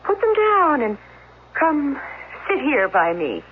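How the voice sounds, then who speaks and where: Nervous mom keeping calm, hesitant, old radio drama, thin muffled sound. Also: female voice, high voice, slow, a little hiss or hum, heavily acted. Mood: placating. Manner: careful, hesitant, placating. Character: frightened mother straining to appear calm. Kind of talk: 1970s radio drama acting.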